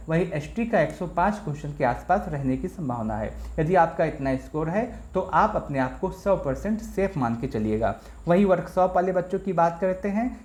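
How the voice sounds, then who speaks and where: In an echoey room, a presenter talks at a middling pitch. The delivery is an informal explainer, speaking straight to listeners and going back over points to make them clear. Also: darkish tone. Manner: explanatory, addresses listeners directly, repeats points. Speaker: exam news presenter. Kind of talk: informal explainer on exam results.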